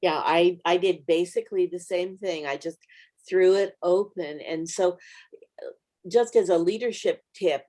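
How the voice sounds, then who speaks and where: A woman's voice: lowish voice, a little slow, warm tone. A middle-aged woman co-hosting a Bible study lesson, talking in an earnest, encouraging way and offering practical tips to group leaders. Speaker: Bible study author and co-host. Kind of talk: casual teaching conversation.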